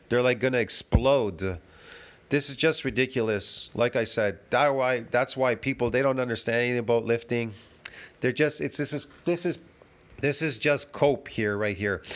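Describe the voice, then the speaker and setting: A man giving a ranting fitness commentary, emphatic and repetitive, full of restarts and rhetorical questions. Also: medium pitch.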